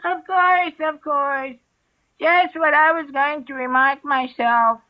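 A man's voice: extremely high pitch, fairly even intonation, slow pace, pitched above the performer's own voice. A man voicing a sleepy little male dormouse who talks in a drowsy mumble. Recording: somewhat dull lo-fi sound.

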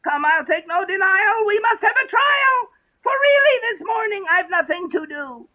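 A woman voicing a severe, easily offended storybook mouse in a sharp, declamatory manner. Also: very high voice, big pitch swings, moderate pace. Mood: theatrical, menacing. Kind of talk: dramatised storybook reading. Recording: tinny, narrow-band radio-like sound.

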